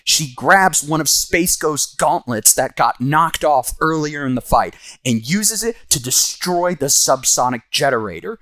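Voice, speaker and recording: A man's voice: a little high, bright, forceful tone, no echo. A man hosting and narrating a scripted comic book review.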